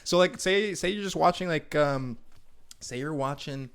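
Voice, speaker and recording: A man's voice: a little high, moderate pace. A man co-hosting a podcast in casual conversation, easygoing and inclined to ask questions. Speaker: podcast co-host. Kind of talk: casual chat.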